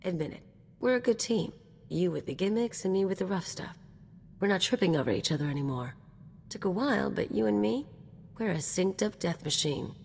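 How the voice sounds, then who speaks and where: A woman's voice: medium pitch, lively intonation, brisk, gravelly, in a large hall, slight background noise. An actress playing a brash, swaggering anarchist mercenary woman in a video game, with casual, teasing, slangy talk. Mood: breezy, boastful. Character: brash, cocky female anarchist mercenary companion.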